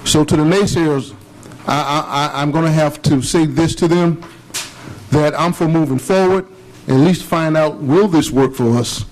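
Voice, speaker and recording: Male commissioner, earnest and emphatic, slightly high voice. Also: normal speed, a little mellow, poor audio. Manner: earnest, emphatic, plain-spoken. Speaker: city commissioner. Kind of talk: speaking at a public meeting. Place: city commission chamber.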